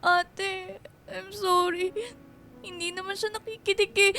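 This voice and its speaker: A woman's voice: extremely high pitch, level tone, slow pace, pushed and bright, heavily acted. A woman playing an emotional, whiny younger sister in a Filipino radio drama, easily upset. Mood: tearful, sorry. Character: tearful, blaming younger sister.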